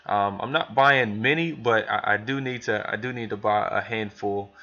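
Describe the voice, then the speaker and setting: Male trainer, lowish voice, informal and rambling step-by-step walkthrough, muffled lo-fi sound. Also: normal speed, pushed and bright. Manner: informal, rambling, thinking aloud. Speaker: business trainer demonstrating software to new hires. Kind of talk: casual screen tutorial, talking through steps.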